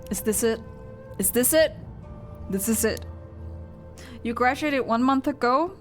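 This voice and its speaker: A young woman streaming her reaction to a game story, chatting casually and swinging between squeals and flat rambling asides. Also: on the high side, slow pace, long pauses. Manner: excitable, gasps and squeals, then drops to flat rambling. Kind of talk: casual live reaction commentary. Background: game music.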